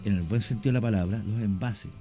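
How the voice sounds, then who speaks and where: A middle-aged Chilean man speaks as a radio guest, explaining at length and often correcting himself mid-sentence. His voice is warm and mellow, with soft music faintly behind him. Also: on the low side, fast talker. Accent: Chilean Spanish. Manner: rambling, self-correcting, explanatory. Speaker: guest, longtime cemetery worker. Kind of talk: radio interview storytelling.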